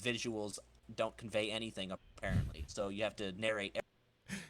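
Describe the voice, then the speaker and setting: A man's voice: lowish voice, steady tone, a little fast, fairly bright tone, no room sound. A male podcast host chatting casually as he organizes a group reading.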